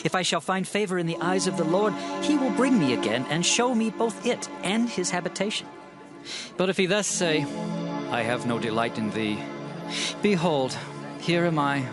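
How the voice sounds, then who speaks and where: A man's voice: high-pitched, animated, normal speed. A man playing a devout, sorrowful but resolute king, declaiming fervently in a dramatized scripture reading. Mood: resigned, resolute. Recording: loud music behind the voice. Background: music.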